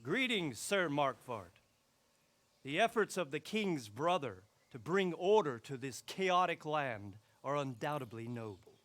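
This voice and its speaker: An actor playing a courteous, shrewd castle lord in a video game, speaking in a measured, drily ironic way. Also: male voice, a little high, big pitch swings, fairly bright tone. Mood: courteous, guarded. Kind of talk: scripted video game cutscene acting.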